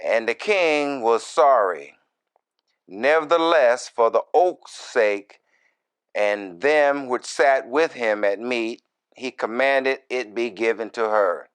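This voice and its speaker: A man who is a minister reads scripture verse by verse and explains it in deliberate, broken short phrases with folksy, informal asides. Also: mid-range voice, clean tone. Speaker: minister, Bible teacher.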